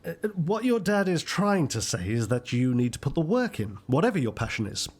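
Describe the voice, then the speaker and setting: A man's voice: fairly high pitch, big pitch swings, brisk, a little mellow, slightly rough. A man playing a roguish, wry older grandfather who takes bets, in a radio soap. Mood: wry, genial.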